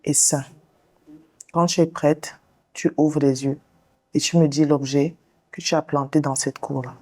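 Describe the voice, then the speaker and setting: A woman therapist hosting the show, leading a guest through a slow guided visualization and counseling talk in a soothing, directive way. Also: low voice, steady tone, dark tone. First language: English. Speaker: sex therapist and show host.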